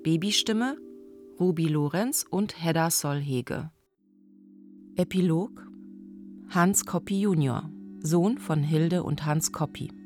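A woman with a low voice makes a scripted radio announcement. She is sober and very unhurried, with music playing behind her. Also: darkish tone. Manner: measured, sober. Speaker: announcer reading radio play credits.

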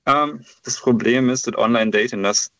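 Gravelly, hesitant young man caller. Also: mid-range voice, a bit bright, no room sound, lo-fi sound. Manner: hesitant, halting, many fillers. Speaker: radio caller. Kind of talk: casual phone-in chat.

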